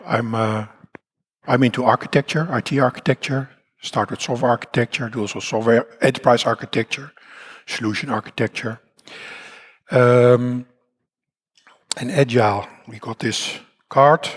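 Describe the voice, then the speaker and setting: A man from the Netherlands with a Dutch accent and a croaky voice, speaking at a conference in a rambling, self-deprecating way with many fillers and restarts. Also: medium pitch. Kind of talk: conference talk. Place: conference hall.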